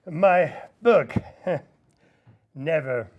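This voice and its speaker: A man acting a gruff, weary old professor in a slow, theatrical radio drama manner. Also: fairly high pitch, animated, muffled recording. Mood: indignant. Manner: theatrical, exclaiming, slow and deliberate.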